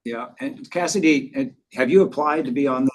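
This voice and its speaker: A man chairing a public board meeting, speaking in a relaxed, halting way with many fillers. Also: mid-range voice, slight background noise, poor audio.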